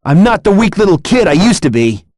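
An actor playing an earnest young martial-arts hero in an anime fighting game, with an intense, emphatic delivery. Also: male voice, high voice, sing-song, a little fast, darkish tone, no room sound, studio quality.

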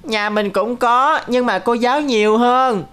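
A woman's voice: a little high, measured pace, a bit bright, clean tone. A woman voicing an innocent, inquisitive little boy in a cartoon. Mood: innocent, insistent. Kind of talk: cartoon dub acting.

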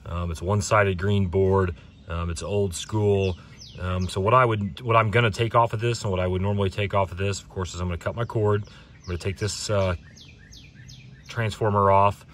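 A man with a fairly low voice talks casually through a teardown as he works. His delivery is unhurried and plain-spoken, with halting pauses. The mic sits at some distance outdoors, and there is faint background noise. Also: fairly even intonation, a bit bright. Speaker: video host, hobby scrapper. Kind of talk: casual hands-on teardown commentary. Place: outdoors on pavement.

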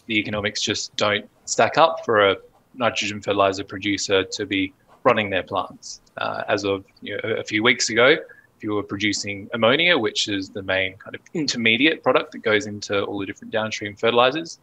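A man in his thirties with an Australian accent, speaking as a guest on a video call and explaining things in a relaxed, steady way. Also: on the low side, brisk, poor audio. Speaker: podcast guest, fertilizer analyst. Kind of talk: casual interview talk. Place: home office on video call.

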